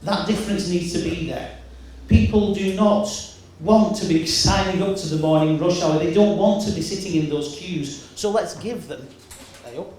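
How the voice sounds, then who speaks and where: Loud high-voiced man in an echoey hall. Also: moderate pace, a little hiss or hum. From Wigan, England. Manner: loud, emphatic speaking voice with repeated restarts. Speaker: mayoral candidate. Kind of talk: campaign speech. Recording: echoey hall, mic from far off.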